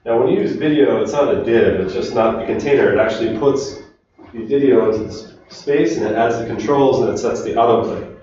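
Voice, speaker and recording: A man from Finland giving a relaxed technical talk to a meetup audience, chatting with the crowd. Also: mid-range voice, fairly quick, a little hiss or hum. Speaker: conference speaker. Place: large echoey meetup room. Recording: distant, roomy, dull room recording.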